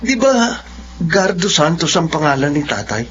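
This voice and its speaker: Guarded, high-pitched young son. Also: male voice, big pitch swings, moderate pace, a bit bright. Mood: startled, urgent. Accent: Filipino. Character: guarded young student son seeking his lost father. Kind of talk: Filipino radio drama acting. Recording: muffled radio drama, faint background noise.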